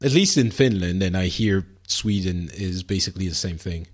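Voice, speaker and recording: Curious man asking casual questions. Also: sits low. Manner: curious questioner, quick reactions, laughs easily. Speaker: podcast co-host. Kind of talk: casual podcast chat.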